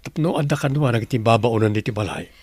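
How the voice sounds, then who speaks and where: A man's voice: mid-range voice, lively intonation, quick pace, a little mellow, husky, no room sound. A man playing a courteous, inquisitive doctor and master of the house in a radio drama, with an Ilocano accent. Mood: polite, inquisitive.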